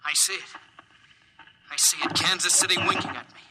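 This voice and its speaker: An American man playing a young astronaut stranded alone in space, musing haltingly in 1950s radio drama style. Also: fairly high pitch, fairly even intonation, close to an everyday voice. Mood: moved, relieved. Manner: halting, musing monologue to unseen listeners. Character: lonely stranded astronaut, a young lieutenant, wistful and reflective. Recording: noisy vintage 1950s radio recording.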